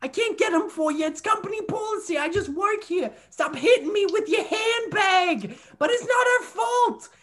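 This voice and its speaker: A woman acts out a feisty old grandmother with an extremely high voice. She rambles through stories and gets indignant and scolding, in the manner of an improvised comedy interview. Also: animated, normal speed, hardly any pauses, a bit bright. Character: feisty, rambling old grandmother named Mary, defensive and dramatic.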